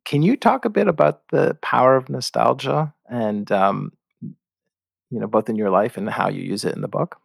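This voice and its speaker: A man's voice: mid-range voice, normal speed, creaky, husky, dry recording, clean studio sound. A man hosting an interview, asking questions in a hesitant way with frequent restarts. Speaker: podcast host.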